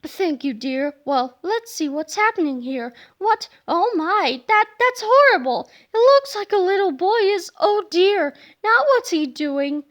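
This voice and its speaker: A woman voicing a gentle, easily shocked grandmother in her seventies, genteel and fretful, in a children's book read-aloud. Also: extremely high pitch, expressive, unhurried, hardly any pauses. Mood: dismayed, fretful.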